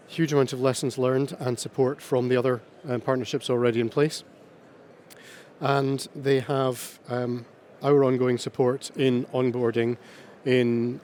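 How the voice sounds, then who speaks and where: Halting man at a panel. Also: medium pitch, darkish tone. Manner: halting, many ums and uhs, slow pauses. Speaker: award winner speaking on a panel. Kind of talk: hesitant conference talk.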